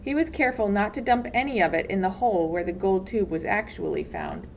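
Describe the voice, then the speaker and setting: A woman's voice: mid-range voice, big pitch swings, a little fast. A woman reading a storybook aloud as narrator, expressively, like a teacher. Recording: dull lo-fi sound, faint noise.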